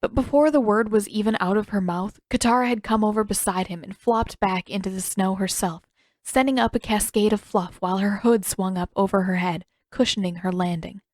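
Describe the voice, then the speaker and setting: A woman's voice: medium pitch, a little fast, darkish tone, dry recording. A woman reading scripted fiction as an audiobook narrator.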